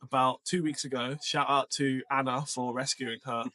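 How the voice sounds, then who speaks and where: A man co-hosting a podcast, chatting casually and telling stories in a rambling, animated way. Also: medium pitch, normal speed. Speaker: podcast co-host. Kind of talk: casual podcast chat about off-road adventures.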